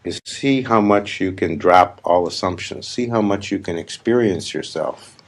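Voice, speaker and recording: A man leading a guided meditation as a spiritual teacher, speaking in deliberate, emphatic, repeated phrases with long pauses. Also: deep voice. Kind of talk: slow guided meditation talk. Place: live gathering.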